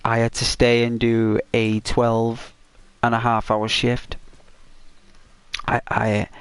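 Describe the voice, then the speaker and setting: A man hosting a gaming channel, chatting casually and rambling to his viewers in a croaky, hoarse voice. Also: mid-range voice, no echo. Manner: rambling, talks straight to viewers.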